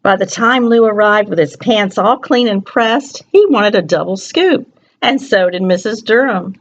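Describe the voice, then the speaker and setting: A woman reads a children's story at an unhurried pace and a middle pitch. She is expressive and turns to her young listeners with questions. The sound is a little dull and lo-fi. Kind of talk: reading a picture book aloud to young children.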